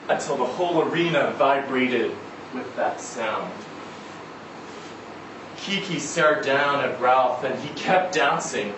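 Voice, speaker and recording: Slow-paced man reading aloud, very echoey room. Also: fairly high pitch. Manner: measured, deliberate phrasing with pauses. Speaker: story reader at a live literary event. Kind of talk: dramatic live reading of a short story. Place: indoor event venue before a live audience. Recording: echoey room, distant mic, noisy background.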